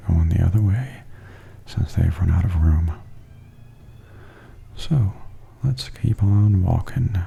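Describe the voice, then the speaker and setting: A man giving softly spoken ASMR tour commentary in a breathy, near-whispered, gentle and unhurried murmur. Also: sits low, highs cut off, a little hiss or hum.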